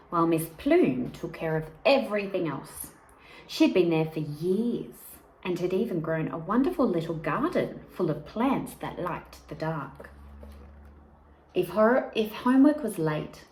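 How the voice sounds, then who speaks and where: Expressive, slow-paced woman reading a storybook. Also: on the low side. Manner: expressive, deliberate, drawn-out emphasis on key words. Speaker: teacher reading a picture book aloud. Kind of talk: children's storybook read-aloud with asides to young listeners. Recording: echoey room with faint background noise.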